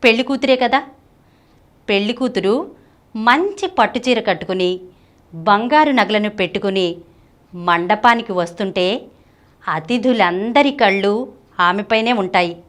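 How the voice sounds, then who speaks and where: A woman's voice: medium pitch, lively intonation, a little slow, pauses often. A woman presenting scripted narration for a women's fashion video, addressing viewers directly. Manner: addresses viewers with rhetorical questions. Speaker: channel presenter. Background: background music.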